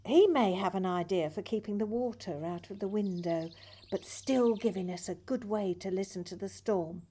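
An actress playing a patient, caring hospice nurse in an audio play, speaking in a gentle, hesitant, reassuring way. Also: female voice, mid-range voice, normal speed, slight background noise, natural voice. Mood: calm, reassuring.

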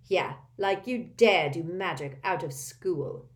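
A woman's voice: medium pitch, measured pace, fairly bright tone, voice pushed up, lightly acted. A woman voicing a spoiled, arrogant teenage wizard boy who sneers and snarls. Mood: sneering.

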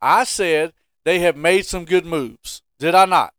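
High-voiced, emphatic American man. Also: unhurried, very noisy. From Carolina, United States. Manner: emphatic, insistent, rhetorical questioning. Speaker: sports podcast host. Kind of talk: casual sports debate.

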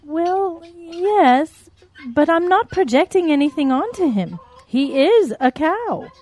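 A woman's voice: very high voice, sing-song, slow pace, a little mellow, heavily acted. A woman voicing a worried, doubtful mother cow in a comic audio drama, hesitant and fretful.

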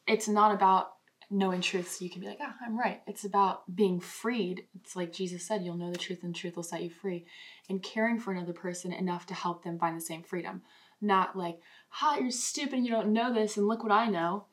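A young woman with a mid-pitched voice speaks in a casual discussion. She is earnest and informal, explaining her views in loose, conversational phrases. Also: a little fast. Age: young adult. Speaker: podcast participant posing questions.

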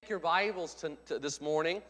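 A man's voice: fairly high pitch, a little fast, pushed and bright, faint music behind. A male pastor, congested from a lingering cold, giving a friendly, conversational sermon with announcements into a pulpit microphone in a church. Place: church sanctuary. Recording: long church-hall reverb.

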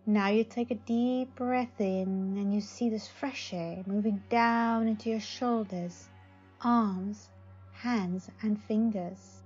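A woman leading a guided meditation in a soothing manner, speaking in short phrases with long pauses. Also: medium pitch, fairly even intonation, darkish tone, husky. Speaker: psychic advisor, meditation guide. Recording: slightly dull audio. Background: faint music.

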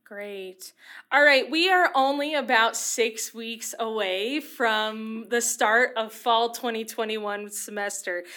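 A woman's voice: on the high side, slow pace, sharp tone. A woman hosting a student podcast, speaking in an enthusiastic, encouraging way. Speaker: podcast host.

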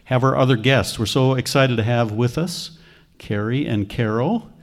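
A man's voice: mid-range voice, normal speed. A man hosting a live podcast, welcoming guests in an upbeat, announcer-like way. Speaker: podcast host. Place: conference hall with a live audience.

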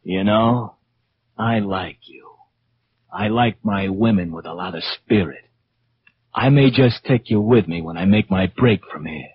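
An actor playing a smug, menacing wounded criminal in a 1940s radio drama, on an old muffled recording. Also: male voice, lowish voice, unhurried, a little hiss or hum, natural voice.

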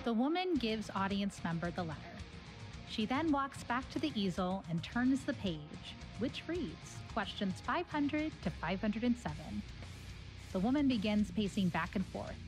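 Female narrator, medium pitch, scripted stage-direction reading, audio play, guitar music behind. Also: sing-song, normal speed.

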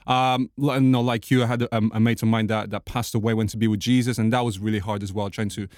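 A man's voice: on the low side, fairly even intonation, quick pace, a little mellow, dry recording, clean studio sound. A man from Portugal with a Portuguese accent, a podcast guest talking casually and enthusiastically. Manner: enthusiastic, laughs easily. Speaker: guest, band frontman. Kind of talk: casual interview chat.

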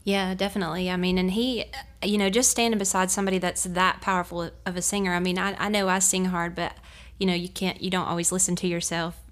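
A woman in her thirties with a North Carolina accent talks in a lowish, steady voice that keeps a narrow range. She is earnest and gentle, sometimes pausing to search for the right words. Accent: Southern US, North Carolina. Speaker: bluegrass singer guest. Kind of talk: casual interview. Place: hotel room.

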